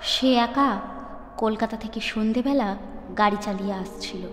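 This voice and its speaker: A woman storyteller narrating a ghost story dramatically, with deliberate, suspenseful phrasing and pauses. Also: a little high, heavy background noise. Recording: echoey long reverb, music underneath.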